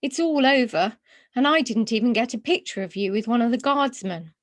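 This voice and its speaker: A woman voicing a kindly, knowledgeable older gentleman in a children's storybook, explaining things in a measured, courteous way. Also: fairly high pitch, animated, no echo, pitched above the performer's own voice, natural voice. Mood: regretful.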